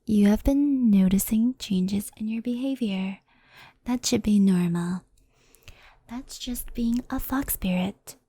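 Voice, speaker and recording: A woman playing a gentle, mischievous fox spirit in an ASMR roleplay, speaking softly and intimately, often in a whisper, like a reassuring mentor. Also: mid-range voice, takes it slowly, husky, no echo.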